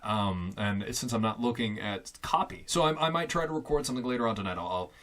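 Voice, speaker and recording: A young man talking casually and loudly into a fixed studio mic in a small foam-lined closet, rambling with fillers and amused asides. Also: fairly low pitch, fast talker. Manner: loud, chatty and self-amused, with many fillers and restarts. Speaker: vlogger testing a home studio. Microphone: fixed studio condenser mic on a stand.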